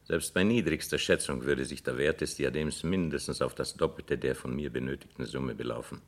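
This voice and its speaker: An actor playing a haughty, commanding nobleman in a German radio drama, speaking in a formal, measured, imperious way. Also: very deep voice. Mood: businesslike, assured. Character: haughty, commanding nobleman client of a London banker.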